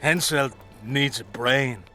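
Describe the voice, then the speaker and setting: Casting: combative drinking soldier, fantasy game, sharp forceful voice, coarse common speech. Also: male voice, medium pitch, slow, faint music behind. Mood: grumbling. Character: blunt, boozy, grumbling common soldier in a fantasy army. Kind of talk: video game dialogue acting.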